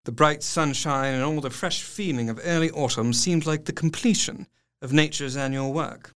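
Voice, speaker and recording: An actor as an earnest, higher-voiced Victorian doctor. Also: male voice, normal speed, a bit bright, clear, resonant tone, lightly acted. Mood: calm. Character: earnest, educated Victorian doctor, anxious younger colleague. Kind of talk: dramatic narration of a diary.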